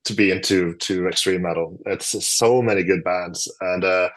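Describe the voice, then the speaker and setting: A man's voice: low-pitched, fairly even intonation, normal speed, fairly bright tone. A Norwegian man, a guitarist guest with a Norwegian accent, chatting casually and rambling with many fillers and restarts. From Norway. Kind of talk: casual chat.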